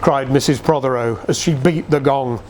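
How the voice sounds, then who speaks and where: A man reading a literary story aloud in a dramatic, measured storytelling manner. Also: medium pitch, a little hiss or hum. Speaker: reader. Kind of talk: expressive literary reading of a nostalgic Christmas story.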